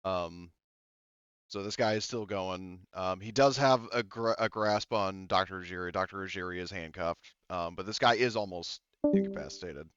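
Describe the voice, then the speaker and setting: A low, smooth-voiced man runs a tabletop game, patiently checking each rule and roll with his players. His voice is resonant but comes through dull, lo-fi voice chat audio with a dry sound. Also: animated, moderate pace, a bit bright. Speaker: game master.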